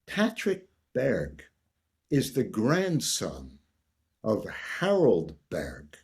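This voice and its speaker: An older man from the United States spins a story with wide swings in pitch, leaning into punchlines and slipping into quick voices for the people he quotes. His voice has a husky, slightly rough edge. He keeps the listener close by tagging his lines with "right?". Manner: animated raconteur, repeats "right?", acts out quoted voices. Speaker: guest. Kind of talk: storytelling in conversation.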